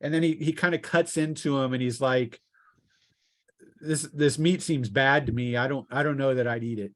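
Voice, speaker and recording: Halting man running a tabletop game. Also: mid-range voice, steady tone, fairly bright tone. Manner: halting, restarting descriptions mid-sentence. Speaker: game master. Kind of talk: improvised tabletop roleplaying narration.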